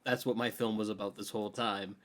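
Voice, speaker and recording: A young man co-hosting a podcast with casual, joking banter about films in a playfully dramatic, animated way. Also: fairly low pitch, a little fast. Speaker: podcast co-host.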